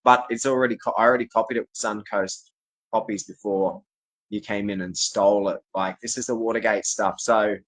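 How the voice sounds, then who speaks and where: A man's voice: on the low side, fairly even intonation, fairly quick, fairly bright tone, rough recording. A man from Australia giving a rambling, insistent personal monologue to viewers, often repeating his declarations. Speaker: vlogger speaking to camera.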